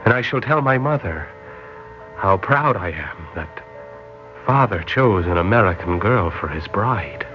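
A man's voice: on the low side, lively intonation, unhurried. A man acting an earnest, sentimental young sailor in a classic radio drama. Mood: tender, grateful. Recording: dull old radio recording with music.

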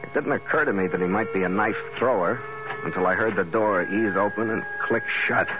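A man's voice: lowish voice, fairly quick, close to an everyday voice. An actor playing a tough, wry sea captain who narrates his adventures tersely and vividly in 1940s radio drama style. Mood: tense, hushed. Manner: hardboiled, vivid storytelling, terse. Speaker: lead actor and first-person narrator. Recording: dull old radio recording, loud music behind.

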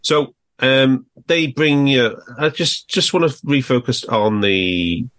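A man's voice: medium pitch, takes it slowly, fairly bright tone. A man hosting a casual football discussion, steering the conversation with questions and prompts. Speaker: podcast host.

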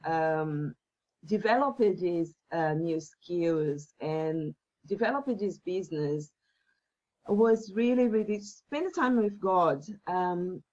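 A woman whose first language is Portuguese, a guest talking earnestly about faith with halting phrasing and many fillers. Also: lowish voice, animated, a little mellow, dull audio. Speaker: podcast guest. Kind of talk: faith-based interview talk.

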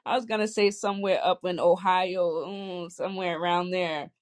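A woman's voice: lowish voice, steady tone. Boston woman, easy chat. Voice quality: supportive, quick affirmations. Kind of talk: casual chat.

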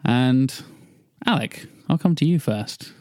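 A man's voice: medium pitch, lively intonation, takes it slowly, a little mellow, no echo, clean studio sound. A man hosting a casual podcast chat among friends. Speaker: podcast host.

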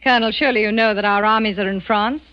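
An actress playing a cool, quietly vengeful French resistance woman in a 1940s radio drama, poised and deliberate. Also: medium pitch, fairly even intonation, a little hiss or hum, lightly acted. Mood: cold, defiant. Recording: dull 1940s radio broadcast recording.

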